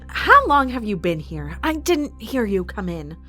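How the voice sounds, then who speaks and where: Villainess, non-human arena boss: imperious, cold, animated pitch, threatening, audio drama with music underneath. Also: female voice. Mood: defensive. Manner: haughty, menacing, measured threats.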